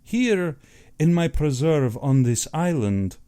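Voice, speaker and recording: Man acting a suave Russian general, lilting higher. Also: big pitch swings, dark tone, smooth. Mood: proud, menacing. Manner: courtly, measured, self-satisfied. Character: suave aristocratic Russian general, affable, sinister big-game hunter.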